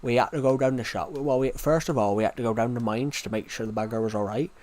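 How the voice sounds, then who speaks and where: A man's voice: medium pitch, fast, darkish tone, natural voice. A man putting on a broad West Country accent, voicing a rural working man who tells a rambling story. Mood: yarn-spinning. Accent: thick West Country. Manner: rambling, run-on story, repeats himself.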